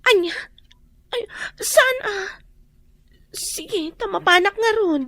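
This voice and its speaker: An actress playing a greedy, bossy wife in an Ilocano radio drama, with an Ilocano accent and a sharp, demanding delivery. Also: female voice, very high-pitched, lively intonation, slow, heavily acted. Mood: scheming. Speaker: scheming lady of the house.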